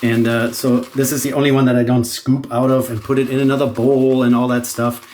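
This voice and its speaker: A man's voice: mid-range voice, brisk, a little hiss or hum. Middle-aged german guy, accent, just chatting along while he shaves, restarts a lot, plain mid voice. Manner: relaxed, thinking aloud with frequent restarts. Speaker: shaving vlogger. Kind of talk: casual product demo chat.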